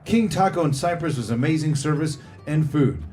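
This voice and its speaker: A man's voice: fairly high pitch, lively intonation, normal speed, warm tone, soft background music. Man reading reviews with mock drama. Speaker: podcast host.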